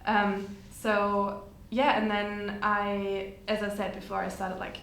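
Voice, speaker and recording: A woman's voice: mid-range voice, little pitch movement, takes it slowly, fairly bright tone. A woman in her twenties from Germany, chatting casually as a podcast guest with lots of fillers. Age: 20s. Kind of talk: casual chat. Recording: echoey room with faint noise.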